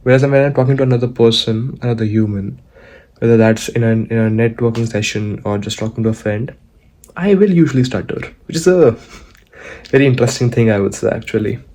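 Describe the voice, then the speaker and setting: A man's voice: medium pitch, a little fast, dark tone. A young man from India, talking casually to the camera in a vlog, with many fillers and restarts. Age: young adult. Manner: conversational, frequent restarts and fillers. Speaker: vlogger. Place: outdoors, walking.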